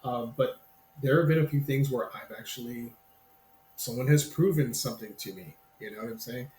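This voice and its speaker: A man's voice: medium pitch, moderate pace, a little mellow. A man in his fifties chatting loosely as a podcast guest, often restarting his sentences in a friendly way. His voice carries the sound of a roomy space, with a faint ambient hum behind it. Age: 50s. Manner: rambling, self-interrupting, friendly. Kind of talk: casual podcast chat.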